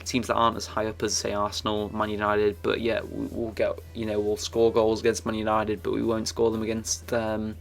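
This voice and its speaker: A young man giving casual, rambling commentary over his football game footage, his voice croaky and full of fillers and restarts. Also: fairly low pitch, completely flat delivery, brisk, hardly any pauses. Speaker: gaming YouTuber.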